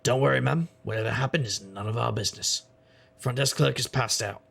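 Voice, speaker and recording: An actor playing a sardonic, world-weary private investigator, narrating and talking in a dry deadpan noir style. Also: male voice, medium pitch, brisk, gravelly, breathy edge, heavily acted. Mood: wry, tense. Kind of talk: noir audio drama, first-person narration and dialogue.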